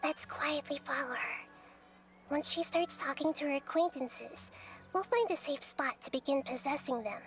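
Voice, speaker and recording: A woman voicing a small, wise, gentle girl deity in a video game, speaking in a measured, thoughtful, explanatory way. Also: high-pitched. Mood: discreet, trusting. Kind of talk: video game character dialogue. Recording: music behind.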